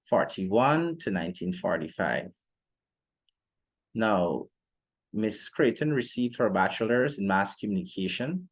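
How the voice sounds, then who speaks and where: A young man giving an explanatory lecture in a formal, deliberate manner, pausing often between phrases. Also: on the low side. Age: young adult. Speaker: literature teacher presenting a lesson. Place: indoor room, seated before a plain wall.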